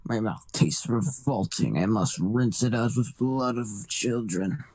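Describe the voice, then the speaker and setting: A man voicing a monstrous, bloodthirsty bat devil with a slow, theatrical delivery in an anime fan dub. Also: medium pitch, a little mellow. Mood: disgusted, hungry.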